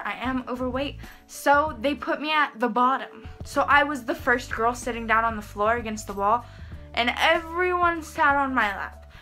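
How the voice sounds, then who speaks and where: A young woman rambling in a casual vlog from her bedroom, talking to a camera in front of her and saying "like" a lot. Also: on the high side. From Texas. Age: young adult. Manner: rambling, lots of "like", expressive hand gestures. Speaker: vlogger. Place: small bedroom, indoors. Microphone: camera in front, head and shoulders framing.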